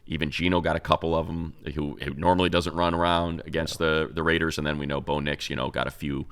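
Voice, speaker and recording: Very deep, resonant American man talking sports. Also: flat delivery, fast talker, fairly bright tone, smooth. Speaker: sports show host. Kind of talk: casual sports analysis talk.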